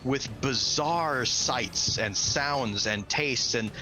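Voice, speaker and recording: Excited man narrating, music behind. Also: medium pitch, extremely slow. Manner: enthusiastic, slangy, dramatic. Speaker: game master. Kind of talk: excited narration of a tabletop game. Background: background music.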